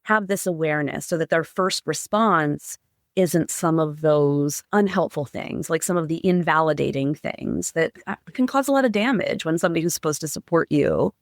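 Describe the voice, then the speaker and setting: Earnest, gravelly-voiced therapist guest. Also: mid-range voice, normal speed, no room sound, clean studio sound. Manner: earnest, repeats words when restarting, uses "like" and "right?" often. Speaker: psychotherapist and professor, podcast guest. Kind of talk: candid interview answers.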